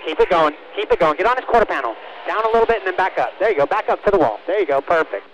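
A man with a fairly high voice talks over a race team radio with faint noise. He works as a spotter, guiding a driver in short, clipped phrases that he often repeats. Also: animated, racing, highs cut off.

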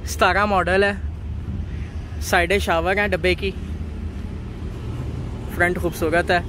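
A man walking viewers around used cars at an outdoor bazaar, listing their features in a steady, repetitive way. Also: high voice, slow pace. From Pakistan. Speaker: car market video host. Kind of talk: walkaround car review for viewers. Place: outdoor car bazaar, dusty lot. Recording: outdoor market noise behind.

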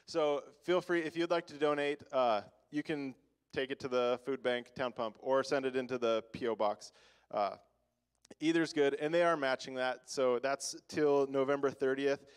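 A man's voice: medium pitch, steady tone, moderate pace, clean tone. A young man on a church stage giving chatty announcements full of "like" and small laughs, then a short devotional. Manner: chatty, laughing, many "like" fillers. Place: church stage, large room.

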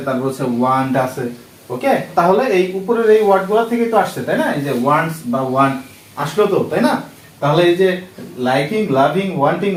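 A man with a Bangladeshi accent giving a step-by-step lesson as a teacher, often asking check questions and repeating key phrases. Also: high-pitched. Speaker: English grammar teacher. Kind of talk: instructional lesson explaining step by step. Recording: echoey room, distant microphone.

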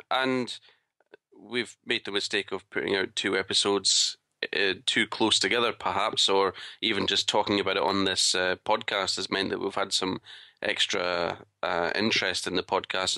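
A man's voice: on the low side, steady tone, moderate pace, vocal fry, sharp tone, no echo. A man co-hosting a podcast, chatting casually in a reflective, agreeable way. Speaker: podcast co-host.

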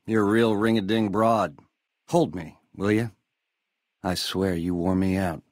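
An actor playing a slick, swaggering casino gangster, cocky and glib, in a video game full of 1950s lounge slang. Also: male voice, deep voice, fairly even intonation, unhurried, dry recording, clean studio sound. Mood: smug, smooth.

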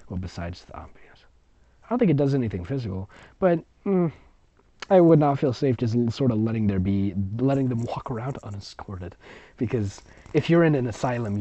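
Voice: medium pitch, a little mellow, no echo. A sarcastic, exasperated reader narrating amateur fiction and breaking off to mock it with commentary. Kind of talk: mocking story reading with ad-libbed commentary. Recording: dull, lo-fi close recording.

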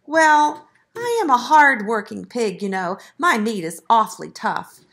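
A small, sly piglet from a children's storybook, acted out by a woman reader. The voice is high and very animated, squealing and then wheedling with mock innocence and meek little sighs. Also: a little slow, heavy background noise. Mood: sly meek pleading. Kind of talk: children's storybook read-aloud.

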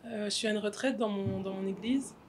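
A young woman guest recounting her story, soft-spoken with many fillers. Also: medium pitch, fairly even intonation, a little fast, a little hiss or hum. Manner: soft, frequent "en fait" and "euh". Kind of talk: personal testimony in interview. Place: hotel lounge, indoors.

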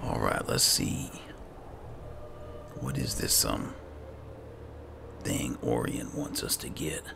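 A man's voice: rumbling low, slow, creaky, background noise. A man casually commentating on a video game, roleplaying and musing aloud to himself. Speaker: gaming host doing roleplay playthrough.

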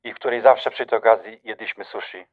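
A man's voice: lowish voice, fast talker, narrow, tinny audio. An actor playing a smug, flirtatious doctor who teases his ex-lover, in a radio drama over a telephone. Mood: smug, teasing. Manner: teasing, insinuating.

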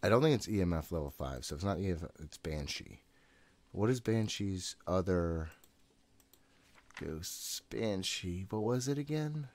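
Low-voiced man, profane gamer. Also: very animated, unhurried. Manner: profane, jittery, talking to himself. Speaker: gaming host. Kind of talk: casual gameplay commentary. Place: room at home.

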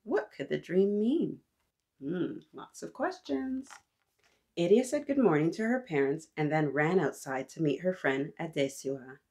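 Woman, 30s, animated children's storytime reader. Lowish, husky voice with big swoops in pitch, addressing little listeners directly. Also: measured pace. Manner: expressive, talks to listeners as if they answer. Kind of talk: animated read-aloud for young children.

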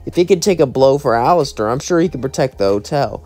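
A man's voice: medium pitch, expressive, fast, darkish tone, close to an everyday voice. A man voicing an earnest, hopeful but worried woman in a sincere, hesitant manner for a cartoon story.

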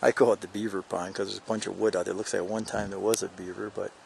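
A man's voice: deep voice, level tone, fast, gravelly, a little mellow, slight background noise. An older man casually narrating a hike outdoors, rambling and joking with lots of fillers, filmed on a handheld camera. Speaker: hiker filming a vlog. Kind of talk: casual narration while hiking. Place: outdoors on a mountain trail, windy.

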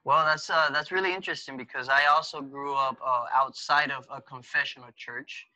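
A man in his thirties whose first language is Spanish, talking as a podcast guest over a video call with many pauses and fillers. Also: mid-range voice, fairly even intonation, bright, forceful tone, dry recording. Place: small room at home. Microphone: webcam video call. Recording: dull lo-fi webcam audio.

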